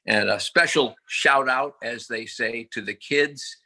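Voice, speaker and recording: A man in his seventies giving a humble, halting acceptance speech over a video call, with many fillers and self-deprecating jokes. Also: mid-range voice, sharp tone. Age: 70s.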